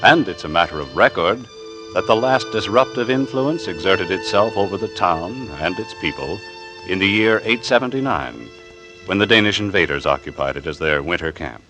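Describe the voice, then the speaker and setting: Deep, measured male radio drama narrator. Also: lively intonation. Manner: measured, formal. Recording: vintage radio broadcast with music behind. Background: music.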